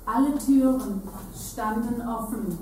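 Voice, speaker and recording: A woman's voice: fairly high pitch, slow pace, a little mellow. A woman narrating literature in a staged reading. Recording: echoey hall, distant, noisy.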